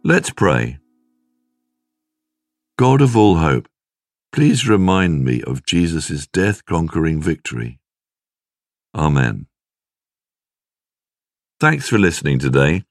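A man reading a scripted devotional in a measured, clearly phrased way. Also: a bass voice, big pitch swings, dry recording, clean studio sound, faint music behind. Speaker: devotional reader.

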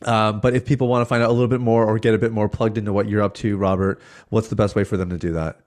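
A male podcast host with a fairly low voice, closing out an interview. He keeps his replies short and encouraging, with lots of quick affirmations. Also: fairly even intonation, a little mellow. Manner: brief affirmations, laughs along. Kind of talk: interview hosting.

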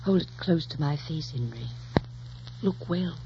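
A woman's voice: deep voice, lively intonation, a little slow, vocal fry, full character voice. Coy, teasing lady, lilting, old radio. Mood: tender. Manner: playful, cool, gently mocking. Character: poised, teasing young noblewoman, unafraid and coy. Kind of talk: 1940s radio romance acting. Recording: dull, noisy 1940s radio recording.

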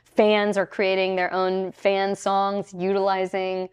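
A woman's voice: medium pitch, monotone, takes it slowly, smooth. A woman guest in an interview, explaining new technology in an upbeat, emphatic way. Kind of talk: conversational interview.

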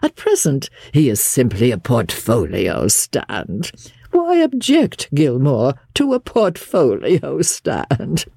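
A man voicing a languid, peevish gentleman invalid in an audiobook, with a fussy, affectedly fragile, sarcastic drawl. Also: medium pitch, huge pitch swings, slow, vocal fry, husky, no echo, studio quality, pitched above the performer's own voice, exaggerated voice. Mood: sarcastic, astonished. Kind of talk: dramatised audiobook character voice.

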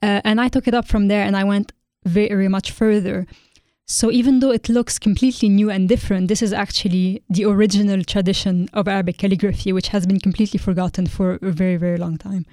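Thoughtful woman from Lebanon, mellow voice. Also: medium pitch, fairly even intonation, fast talker, no room sound. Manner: thoughtful, hesitant with frequent ums. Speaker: guest artist. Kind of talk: interview conversation.

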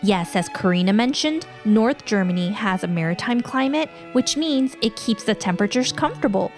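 A woman hosting a children's show, reading scripted narration in a clear, explanatory way. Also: medium pitch, animated, moderate pace, darkish tone, faint music behind.

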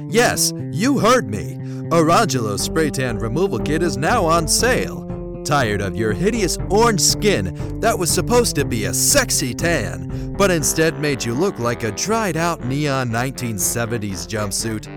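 A man's voice: mid-range voice, big pitch swings, a little slow, over loud music. A man playing an over-the-top commercial announcer in a parody radio ad, salesy and theatrical. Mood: pushy.

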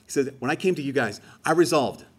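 A man's voice: medium pitch, animated, fast talker, husky. A man giving a homily in a conversational, emphatic way, rising on key phrases. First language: English. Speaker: preacher.

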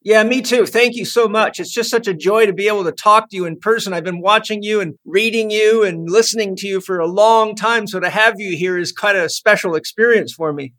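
Middle-aged man, podcast host, high-pitched, enthusiastic, rambling, casual chat. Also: brisk, few pauses, a bit bright. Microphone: podcast microphone.

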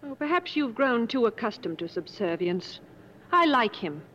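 An actress playing a proud, headstrong English wife on an expedition, haughty and clipped, in 1950s radio drama style. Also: female voice, fairly high pitch, big pitch swings, normal speed, a bit bright. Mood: curious. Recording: muffled, noisy old radio broadcast.

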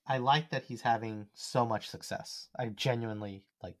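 A man's voice: medium pitch, normal speed, no echo. A man with an American accent talking casually as a podcast co-host, telling stories in a joking, conversational way. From United States.

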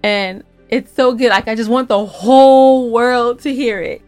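A woman's voice: a little high, a little slow, darkish tone, no room sound, faint music behind. A woman co-hosting a casual recap podcast, gushing and repeating herself for emphasis. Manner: effusive, gushing, emphatic repetition. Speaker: podcast co-host and scriptwriter.

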